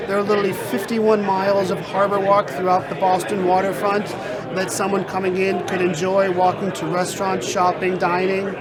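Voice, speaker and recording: A man speaking as a real estate sales director in an interview, with measured, polished sales talk, on a busy conference floor. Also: high-pitched, completely flat delivery, low-quality recording. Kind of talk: interview at a trade event. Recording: loud crowd chatter behind. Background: crowd chatter.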